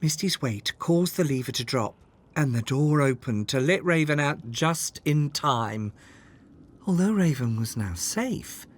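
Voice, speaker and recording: A storyteller reading a children's fairytale aloud in an expressive, measured way, with dramatic scripted delivery. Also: medium pitch. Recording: music under the voice. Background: background music.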